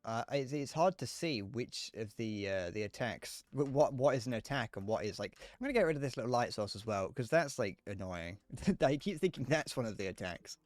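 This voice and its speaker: A young British man with a slightly whiny, mid-pitched voice chats casually while he plays a game. He rambles, restarts his sentences and makes fun of himself, and swears now and then. His voice sounds dry and close, with little room sound. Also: expressive, a little fast, hardly any pauses, no room sound. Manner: rambling, self-deprecating, swears, frequent restarts. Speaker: streamer. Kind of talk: casual live gameplay commentary.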